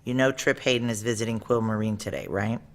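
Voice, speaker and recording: An actress playing a tough, blunt security chief and ex-Ranger in a noir audio drama, with a clipped, wry, commanding way of talking. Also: female voice, a bass voice, fairly even intonation, fast. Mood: firm, commanding.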